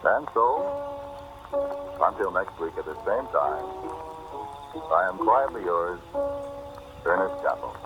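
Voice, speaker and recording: A man reading scripted credits as a formal, measured 1940s radio announcer, on an old radio recording. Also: low-pitched, animated, highs cut off, music in the background. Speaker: narrator and star announcing credits.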